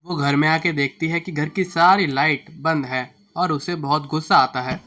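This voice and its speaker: Bright, forceful male story narrator. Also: on the high side, fairly even intonation, normal speed. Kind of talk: scripted Hindi moral-story narration. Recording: music bed under the voice.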